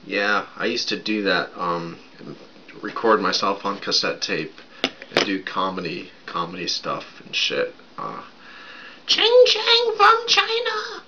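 A raspy man in his thirties rambling casually at his webcam in a small bedroom, with lots of fillers while he drinks. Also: low voice, measured pace. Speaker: vlogger. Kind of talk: casual show-and-tell vlog. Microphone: webcam at desk distance. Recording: dull lo-fi sound with background noise.

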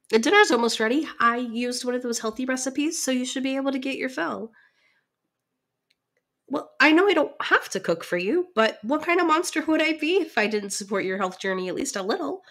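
A woman playing a caring, gently bossy partner in an ASMR roleplay, coaxing and affectionate but firm with the listener. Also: on the high side. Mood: cheerful, teasing.

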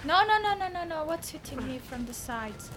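Excitable young woman streamer, voice partly gone. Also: high-pitched, moderate pace, heavy background noise. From Bosnia and Herzegovina. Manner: excitable, shouts and laughs at the action. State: voice partly lost. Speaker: streamer playing a game live. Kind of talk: live game commentary. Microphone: headset.

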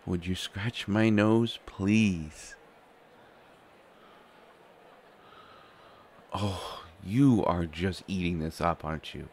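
Man playing a deep-voiced, flustered reluctant prince. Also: slow, darkish tone, slight background noise. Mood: wry exasperation. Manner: flustered, hesitant, stammering, sighing. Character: reluctant, anxious young bastard prince, soon to be king. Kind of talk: roleplay audio drama monologue. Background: faint music.

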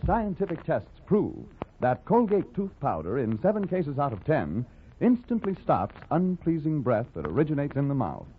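A man announcing in an emphatic, persuasive 1940s radio advertising style. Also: mid-range voice. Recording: tinny old radio, faint noise, clicks.

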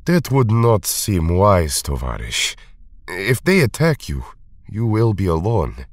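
A cautious, level-headed Russian superhero, acted by a man for an audio drama. His fairly low voice moves widely in pitch and is recorded dry. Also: wildly swooping pitch, takes it slowly, dry recording, exaggerated voice. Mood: cautious, stern.

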